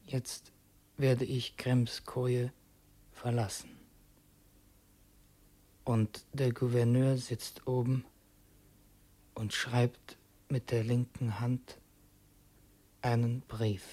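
Husky, tormented revolutionary. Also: male voice, mid-range voice, flat delivery, very slow, pauses often, warm tone. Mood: grim. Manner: tense, obsessive, repeating himself. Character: tormented revolutionary assassin posing as loyal aide. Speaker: radio drama actor. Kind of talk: German radio play acting.

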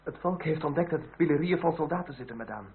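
An actor playing an earnest, deferential young revolutionary in a Dutch radio drama, courteous and somewhat halting in speech. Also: male voice, on the high side, close to an everyday voice. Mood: fervent. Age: young adult. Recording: tinny, band-limited, hissy old radio recording.

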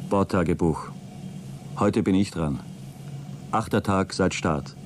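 Stuffy defensive astronaut, formal logbook talk, lowish voice, scifi radio satire, slight noise. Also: male voice, takes it slowly. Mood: stiff, official. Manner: stilted, pompous, precise. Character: prim, defensive male astronaut keeping a formal log. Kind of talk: satirical science fiction radio drama acting.

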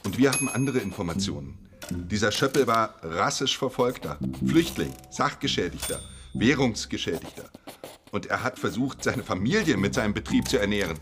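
An actor playing a firm, formal office official in a German radio play. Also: male voice, lowish voice, lively intonation, moderate pace, over music, lightly acted. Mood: firm, defensive. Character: firm, indignant male compensation office official.

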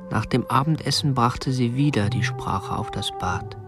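A man's voice: mid-range voice, normal speed, music in the background, close to an everyday voice. An actor in a radio drama playing a prim, obsessive young man who keeps a woman captive, speaking in a stiff, controlled way. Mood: matter-of-fact, controlled. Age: 20s. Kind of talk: radio drama narration and dialogue.

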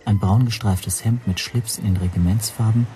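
An actor playing an observant, nervous hotel guest who narrates his own story in a radio drama, measured and precise. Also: lowish voice, steady tone, dark tone, faint music behind, close to an everyday voice. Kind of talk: scripted first-person radio drama narration.

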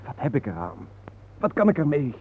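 An actor playing a troubled, weary family man, earnest, halting and defensive, in a naturalistic radio drama. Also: fairly high pitch, wildly swooping pitch. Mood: despairing. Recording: tinny narrow-band old radio recording, hissy.

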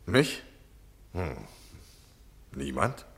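A man's voice: sits low, sing-song, drawn-out words, fairly bright tone, exaggerated voice. A man acting in a 1960s German radio play as an eager, scheming American man of letters, courteous and insistent. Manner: ingratiating, polite, effusive.

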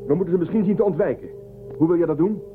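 A man's voice: on the high side, rapid-fire, natural voice. Man playing a spaceship commander, thin narrow-band sound. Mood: commanding. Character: male spaceship commander, calm authority, questioning. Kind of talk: science fiction radio drama acting. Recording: lo-fi phone-like band, music behind.

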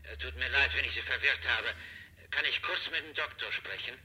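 Sharp, forceful police inspector. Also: male voice, mid-range voice, fairly quick, rough recording. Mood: apologetic. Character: businesslike, apologetic police detective inspector on the telephone. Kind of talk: German radio crime play acting.

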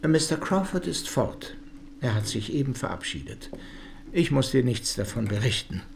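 A stern, middle-aged patriarch in a radio drama. His pitch swings widely as he lectures in formal, reproachful phrases with weighty pauses. Faint ambience sits behind him. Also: sing-song, gravelly, slight background noise. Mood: cool, formal. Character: stern, indignant uncle, patriarch of a genteel household. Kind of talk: German radio drama acting.

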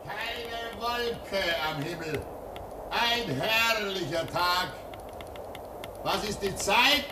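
Old father figure at a lonely outpost: solemn, declamatory radio drama, very drawn-out, animated delivery. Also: high voice, reverberant, distant mic, noisy background, heavily acted. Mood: expectant. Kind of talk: theatrical German radio play acting.

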